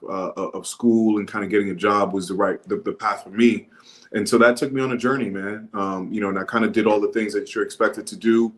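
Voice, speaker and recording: Brief: reflective New guest, man, low easy voice. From the Bronx, New York; Dominican. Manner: laid-back, reflective, says man and right often. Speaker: podcast guest. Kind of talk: relaxed interview storytelling.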